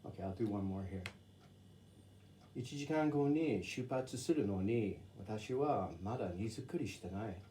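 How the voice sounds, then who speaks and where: Guy with a low mellow voice chatting about learning japanese, uh's everywhere, faint hiss behind. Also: lively intonation. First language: English. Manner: reads Japanese sentences slowly, then explains them in English with fillers. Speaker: English teacher in Japan. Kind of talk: casual talk to camera, reading sentences aloud.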